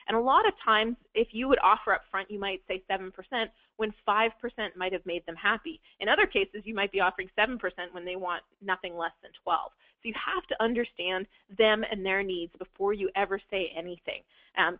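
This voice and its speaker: A woman's voice: medium pitch, dry recording. A woman presenting a live real estate investing webinar, explaining at length with frequent fillers and restarts while her voice wears thin. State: losing her voice. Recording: dry, phone-like webinar audio.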